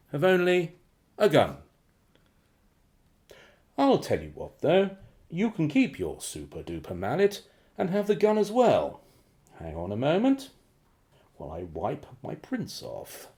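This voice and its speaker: An actor in a radio play playing a suave, menacing crime boss with a mockingly genteel, condescending and drawn-out delivery. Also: male voice, a little high, darkish tone, exaggerated voice. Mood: mocking menace. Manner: theatrical, condescending, chuckling politeness with drawn-out phrases.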